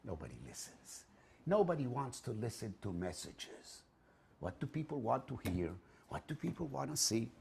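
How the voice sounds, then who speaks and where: A middle-aged man with an Israeli accent, a guest speaker telling anecdotes to an audience in a lively, swinging way. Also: moderate pace, vocal fry. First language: Hebrew. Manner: lively storytelling, big swings in pitch and loudness, laughs at own jokes. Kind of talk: animated public talk with anecdotes. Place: event hall in front of an audience.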